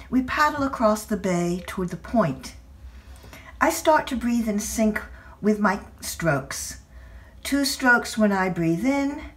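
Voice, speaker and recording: Slow-paced older woman reading. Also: medium pitch, recorded from a distance. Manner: measured, expressive storytelling. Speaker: writer reading own essay. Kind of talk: literary personal essay reading.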